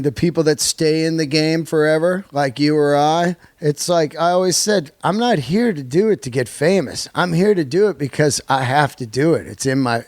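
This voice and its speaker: A man's voice: a little high, dry recording. A man hosting a podcast in casual conversation, eagerly agreeing with his guest. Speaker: podcast host. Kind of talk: casual interview chat.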